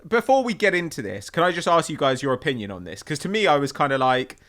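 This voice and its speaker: A man's voice: fairly high pitch, very animated, quick pace, a bit bright. A young British man hosting a football podcast in a conversational style. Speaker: podcast host.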